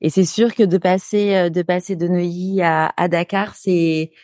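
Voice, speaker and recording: A woman's voice: on the low side, fairly even intonation, measured pace, warm tone, dry recording, studio quality. A woman hosting a podcast, asking informal, friendly questions. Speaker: podcast host. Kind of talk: casual interview questions.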